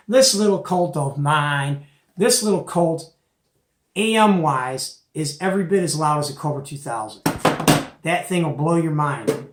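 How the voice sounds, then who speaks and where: Man, middle-aged, higher pitch, folksy, opinionated, casual product demo, echoey room. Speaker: host of a CB radio show-and-tell. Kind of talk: casual product show-and-tell chat. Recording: echoey, roomy home recording.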